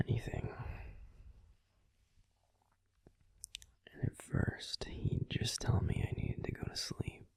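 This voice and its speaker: A man playing a grumpy but tender werewolf boyfriend in an intimate sleep-aid audio roleplay, confiding casually in a breathy, husky, unhurried whisper, close to the microphone. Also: medium pitch, a little mellow, dry recording, full character voice. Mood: soft, reflective. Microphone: close microphone, dry.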